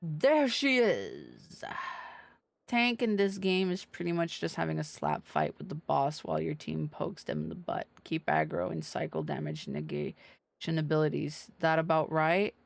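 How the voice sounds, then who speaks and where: A woman's voice: deep voice, wildly swooping pitch, measured pace, gravelly, no room sound. A woman livestreaming a game with casual, playful, sweary commentary.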